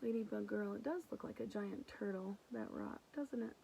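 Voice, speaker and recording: A woman's voice: mid-range voice, normal speed, gravelly, dark tone, a little hiss or hum, poor audio. A woman speaking as a teacher to young children, reading a picture book and explaining it simply and affectionately. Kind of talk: picture-book read-aloud with casual explanations.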